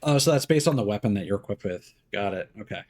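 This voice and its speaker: A man's voice: on the low side, expressive, fast talker, darkish tone. A man streaming a video game, giving casual live commentary and thinking aloud as he plays.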